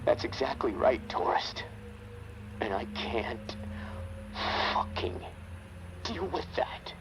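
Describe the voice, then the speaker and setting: An actor playing a guilt-haunted, weary American soldier in a video game, halting and foul-mouthed as he confides. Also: medium pitch, sing-song, very noisy. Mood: anguished, halting. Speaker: survivor confiding in a friend over radio. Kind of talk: dramatic video game acting.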